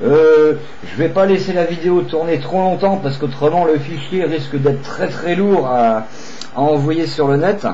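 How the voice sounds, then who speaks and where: A man's voice: on the high side, moderate pace, mellow. A man operating a CB radio, chatting with another operator in a repetitive, hesitant way with radio procedure phrases. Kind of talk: radio contact chat. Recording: dull, slightly noisy CB radio recording.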